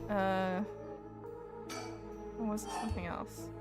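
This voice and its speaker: A woman's voice: mid-range voice, little pitch movement, takes it slowly. A woman from Scotland with a mixed Scottish and Canadian accent, chatting casually while streaming a game and laughing easily. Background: game music.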